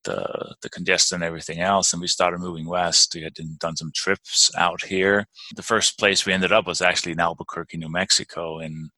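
A man's voice: sits low, level tone, a little fast, bright, forceful tone, no room sound. A man in his forties with a Danish accent, answering interview questions hesitantly, with many fillers and restarts. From Denmark. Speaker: podcast guest.

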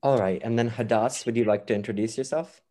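A man's voice: mid-range voice, fast, mellow. A young man hosting a podcast, upbeat and reading prepared questions to his guests. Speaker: podcast host.

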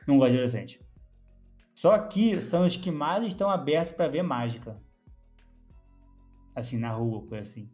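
A young Brazilian man hosting a casual chat about magic, blunt and quick to swear. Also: mid-range voice, animated, a little mellow, soft background music. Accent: Brazilian Portuguese. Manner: blunt, rapid, swears freely. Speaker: podcast host.

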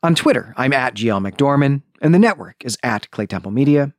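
A man's voice: medium pitch, expressive, a little fast, dry recording, clean studio sound. A man hosting a podcast, giving a review in an enthusiastic, emphatic and conversational way. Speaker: podcast host. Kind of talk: book review podcast monologue.

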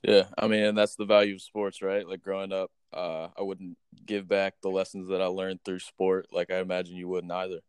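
Low, smooth-voiced male interviewer. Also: flat delivery, brisk, few pauses, no echo, studio quality. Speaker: interview show host. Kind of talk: casual interview.

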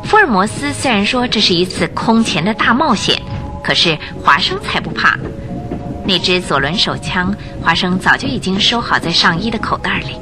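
A woman narrating a radio drama as a scripted, dramatic storyteller. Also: medium pitch. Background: music.

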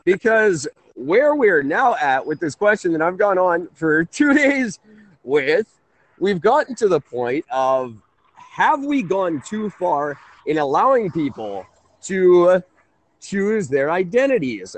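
A man hosting a conversational call-in radio show, halting and often interrupting himself. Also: high voice, sing-song, no echo, low-quality recording. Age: 30s.

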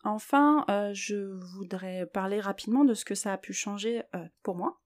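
A woman's voice: mid-range voice, moderate pace, darkish tone, faint music behind. A woman hosting a solo podcast, talking reflectively and hesitantly as she thinks aloud. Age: 30s or older. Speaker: podcast host.

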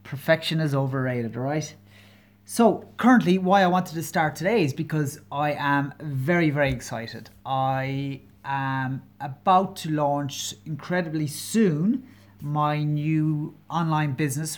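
A fortyish man from Kerry, speaking with an Irish accent and a voice pitched a little above the usual male range. He hosts a solo podcast, talking candidly and earnestly, with plenty of pauses, ums and restarts. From Ireland. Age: 40s. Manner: hesitant and earnest, many pauses and fillers; candid, openly personal. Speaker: podcast host.

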